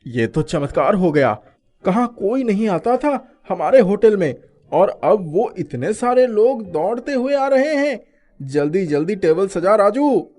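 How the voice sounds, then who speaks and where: A man's voice: sits high, big pitch swings, unhurried, dark tone. A man voicing a kind-hearted restaurant owner in theatrical, earnest storybook dialogue. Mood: excited, amazed. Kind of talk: animated storybook dialogue in Hindi.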